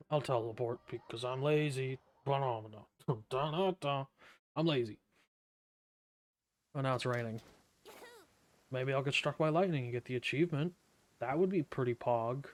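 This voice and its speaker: A man's voice: mid-range voice, normal speed, darkish tone, soft background music. A man streaming a game, chatting casually with sarcastic remarks while he hums and scats along. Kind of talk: casual gameplay commentary.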